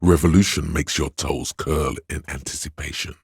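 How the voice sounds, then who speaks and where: An extremely low, heavily rasping male voice plays the voice of addiction inside someone's head in a video game. He coaxes and indulges with slow, insinuating relish. The sound is dry and close. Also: fairly even intonation, no echo, heavily acted. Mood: coaxing, excited. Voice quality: gravelly, husky bass. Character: seductive inner voice of addiction, male, indulgent and coaxing. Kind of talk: dramatic video game narration.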